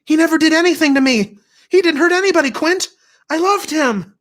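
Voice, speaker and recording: A man voicing an indignant, religious teenage girl with a high, accusing voice. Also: animated, measured pace, fairly bright tone, heavily acted. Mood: anguished.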